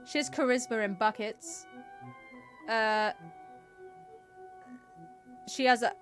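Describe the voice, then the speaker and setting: Playful, higher-pitched woman streaming a game. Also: fairly even intonation, takes it slowly. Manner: playful, chatty, musing aloud. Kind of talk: casual live-stream game commentary. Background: game music.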